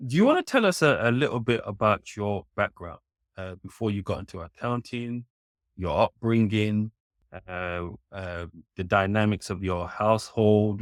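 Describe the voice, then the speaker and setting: Low-voiced male podcast host. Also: no echo, clean studio sound. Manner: asks long rambling questions with fillers. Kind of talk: podcast interview.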